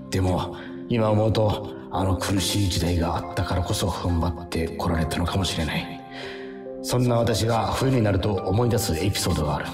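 A man's voice: deep voice, roomy sound, far from the mic, close to an everyday voice. An actor playing a middle-aged factory boss narrating his hard early years in a reflective radio drama.

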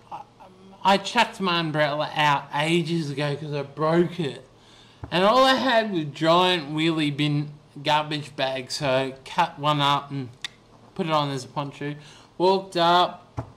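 A man's voice: a little high, sharp tone. A young man in his twenties vlogging about his day on a webcam from a small bedroom, tired and losing his voice, rambling with many ums and restarts. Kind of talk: casual video diary. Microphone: webcam at desk.

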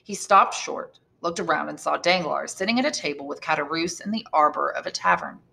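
A woman reading a classic novel aloud as an expressive storyteller. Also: deep voice, brisk, gravelly. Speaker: audiobook reader, English teacher. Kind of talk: expressive scripted novel narration.

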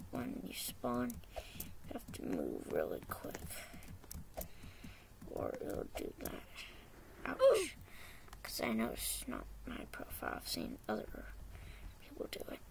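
A teenage girl talking quietly over her gameplay in a hoarse, half-whispered voice, rambling and hesitant, on a poor computer mic. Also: on the high side, huge pitch swings, takes it slowly, pauses often, noisy background. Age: teens. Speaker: gamer. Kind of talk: casual gameplay commentary. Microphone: poor-quality computer mic.

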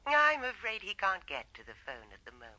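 An actress playing a cool, guarded wife answering the telephone in a radio drama. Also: female voice, medium pitch, huge pitch swings, fairly quick, pushed and bright, heavily acted. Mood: cool, suspicious. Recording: muffled, noisy telephone line.

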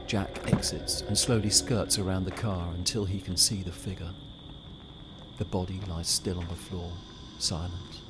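A man's voice: sits low, noisy background. A man reading scripted narration for an audio drama.

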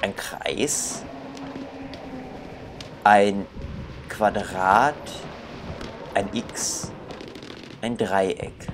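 A man's voice: on the low side, drawn-out words, pauses often, noisy background. A young man commenting casually on a game he plays, musing aloud and reading its text. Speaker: gaming video host.

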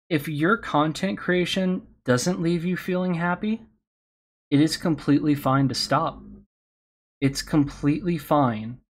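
A man who hosts a podcast, giving candid, encouraging advice on a convention panel, his voice slightly hoarse. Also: mid-range voice. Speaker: podcast host speaking on a panel.

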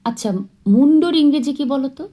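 A woman's voice: high voice, fairly quick, mellow, voice pushed up, lightly acted. A woman voicing a young male admirer, teasing and mock-serious, in an audiobook story.

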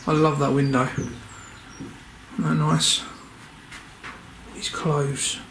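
A man's voice: a little high, level tone, slow pace, fairly bright tone. A man chatting casually and exclaiming at his surroundings as he narrates an exploring vlog on a handheld camera. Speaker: paranormal explorer, channel host. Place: indoors, walking through empty derelict building. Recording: echoey empty rooms, background noise.

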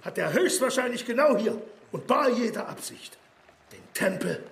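An actor playing an excitable, self-important emperor who lectures theatrically on old legends in a radio play. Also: male voice, extremely high pitch, moderate pace, gravelly, full character voice. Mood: enraptured, pompous. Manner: pompous, theatrical. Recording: echoey, roomy sound.

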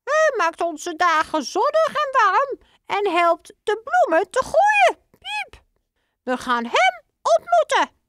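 A woman voicing a fussy father mouse in a children's storybook, squeaking between phrases. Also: wildly swooping pitch, slow pace, background noise, full character voice. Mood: earnest.

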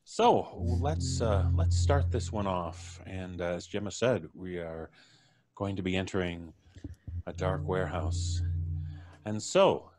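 A man's voice: low voice, slow pace, darkish tone. A middle-aged man, jovially hosting a live podcast show and chatting between introductions. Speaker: podcast host. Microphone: video call from home.

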